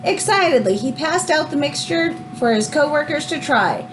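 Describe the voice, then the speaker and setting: Animated woman reading aloud to children, high-pitched. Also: moderate pace, background noise. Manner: animated, explanatory, teacherly. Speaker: teacher reading a picture book aloud. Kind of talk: expressive children's read-aloud with asides.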